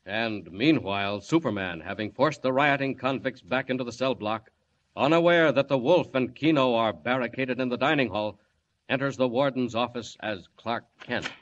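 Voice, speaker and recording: A man's voice: medium pitch, moderate pace. Casting: male narrator for old-time adventure serial, dramatic delivery, mid-range voice, muffled sound. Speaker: announcer and narrator. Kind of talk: dramatic scripted 1940s radio serial narration. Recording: muffled lo-fi old radio recording.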